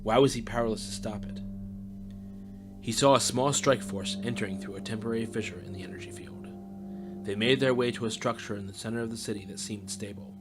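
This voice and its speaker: A man's voice: on the low side, a little fast. A man reading scripted story narration as the narrator of an audio drama. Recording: music under the narration. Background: music.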